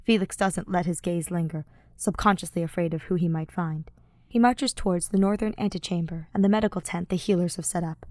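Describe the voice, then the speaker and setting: A woman's voice: on the low side, steady tone, fairly quick, a little mellow, dry recording, studio quality. A woman reading fiction aloud as a scripted audiobook narrator. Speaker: podfic narrator.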